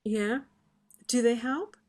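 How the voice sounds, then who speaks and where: A woman's voice: on the high side, takes it slowly. A woman who is a vocal health expert, explaining singing advice in a chatty way during an online question session. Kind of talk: online forum Q&A.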